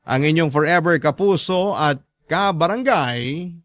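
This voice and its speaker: A man's voice: on the high side, lively intonation, unhurried, fairly bright tone, no echo, studio quality. A man reading a letter aloud as a radio drama host, in earnest, heartfelt storytelling. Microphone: close, dry studio mic.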